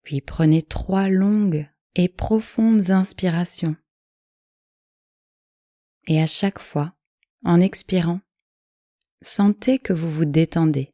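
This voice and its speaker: A woman guiding a yoga nidra relaxation in calm, measured, soothing short phrases. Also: lowish voice, no room sound, studio quality. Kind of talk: guided relaxation meditation, slow instructions.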